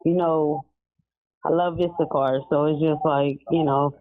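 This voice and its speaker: Halting woman on a tinny phone. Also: low voice, fairly even intonation. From California. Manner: halting, full of fillers. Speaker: caller. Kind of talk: phoned-in comment.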